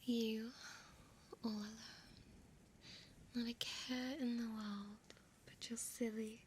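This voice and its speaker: A woman playing a centuries-old, possessive female vampire in an intimate audio roleplay, speaking tenderly in a breathy, hushed whisper. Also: on the high side, little pitch movement, slow pace, slight background noise. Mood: tender, enchanted.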